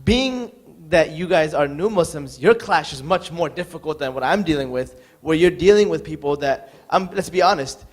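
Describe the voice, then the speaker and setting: Brief: male audience member, slightly high voice, earnest and searching. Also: expressive, normal speed, few pauses. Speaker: audience member asking a question. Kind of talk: earnest question from the floor.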